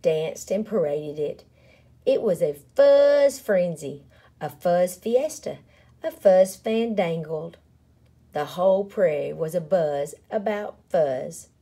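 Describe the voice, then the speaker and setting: A woman reading a children's picture book aloud in a dramatic, expressive way, with deliberate and playful emphasis. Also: lowish voice, slightly rough. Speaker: story reader.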